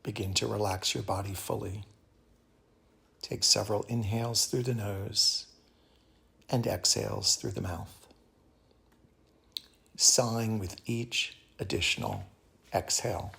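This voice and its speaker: A man guides a meditation in a low voice with a creaky edge and a bright, crisp-edged tone. His delivery is calm and soothing. He speaks in short, measured phrases and leaves space between them. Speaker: yoga teacher guiding meditation.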